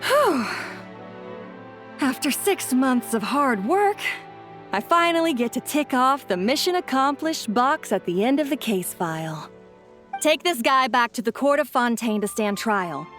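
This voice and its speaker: An actress playing an earnest, dutiful young policewoman in a video game, with a crisp official manner. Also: high voice, very animated, unhurried, a bit bright, soft background music, heavily acted. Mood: relieved, dutiful. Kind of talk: dramatic game voice acting.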